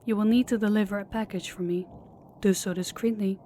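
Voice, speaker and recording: A woman's voice: mid-range voice, steady tone, a little fast, mellow, a little hiss or hum, natural voice. A woman playing a sadistic female crime boss in an audio roleplay, speaking in a formal, controlled and menacing way. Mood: cool, businesslike.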